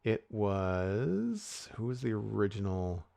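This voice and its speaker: Low-voiced man, rambling podcast chat. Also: big pitch swings, slow, creaky, mellow, no echo. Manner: rambling, many restarts and fillers. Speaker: podcast co-host.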